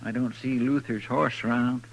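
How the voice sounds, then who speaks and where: An actor playing an anxious, folksy deputy with a twangy Western American drawl in a 1950s radio western. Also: male voice, medium pitch, steady tone, normal speed, creaky, close to an everyday voice. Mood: uneasy. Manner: folksy, deferential. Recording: noisy old 1950s radio recording.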